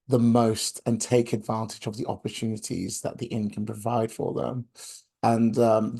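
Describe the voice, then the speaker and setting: Hoarse, croaky man. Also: medium pitch, dark tone. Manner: halting, many filler sounds, friendly. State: hoarse from a chest infection. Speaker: outreach coordinator. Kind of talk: webinar presentation. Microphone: video call.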